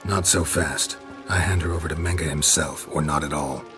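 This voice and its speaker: An actor playing a stoic, weary monster hunter in a video game, terse and deadpan, with a gravelly voice. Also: male voice, moderate pace, over music. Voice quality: gravelly low voice.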